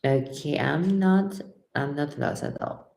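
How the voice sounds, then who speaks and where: A woman's voice: low voice, slow pace, creaky, darkish tone. A woman from Ecuador, a tarot reader chatting with viewers on a live stream, reading their comments aloud and reacting, often repeating her own phrases. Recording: echoey room.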